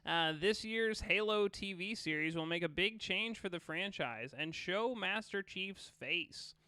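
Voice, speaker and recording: A young man with a high, bright voice co-hosts a gaming podcast in a relaxed, profane way. The sound is crisp and smooth, with a dry close recording. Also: unhurried, no echo. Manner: laid-back, swears casually. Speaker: podcast co-host. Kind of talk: casual chat, reading a news article.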